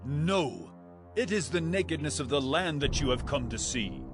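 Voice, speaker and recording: An actor playing a stern, accusing governor of Egypt in a dramatized scripture recording, commanding and deliberate. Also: male voice, fairly high pitch, lively intonation. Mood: accusing, harsh. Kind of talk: dramatized scripture audio acting. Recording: music behind the voice.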